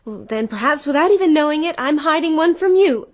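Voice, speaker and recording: A young-sounding wife in a radio play. Her voice is high, and she is inquisitive, playful and a little anxious. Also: lively intonation, brisk. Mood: anxious, probing. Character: Mary Boyne, inquisitive, playful yet anxious American wife. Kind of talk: radio drama acting.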